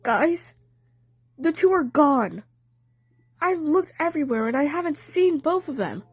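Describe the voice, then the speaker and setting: Woman as a high-pitched, gushing cartoon girl. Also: sing-song, unhurried, no room sound. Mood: shocked. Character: Gabby, cheerful lovestruck girl from a cartoon.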